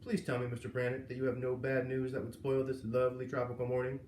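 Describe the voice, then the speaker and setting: A man's voice: mid-range voice, completely flat delivery, fast, a little mellow, lightly acted. A man voicing a pompous, impatient, silver-haired vice president, sarcastic and condescending, in a storybook reading. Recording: roomy, echoing, noisy home recording.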